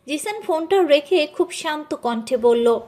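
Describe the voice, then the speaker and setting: A woman's voice: high-pitched, lively intonation, unhurried, warm tone. A woman reading a scripted dramatic story as its narrator.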